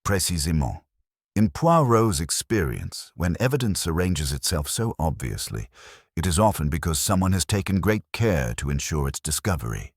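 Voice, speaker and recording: A man reading the part of a fastidious detective, speaking in a precise, probing, formal way. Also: low voice, sing-song, dry recording, clean studio sound, exaggerated voice. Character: fastidious Belgian male detective, middle-aged.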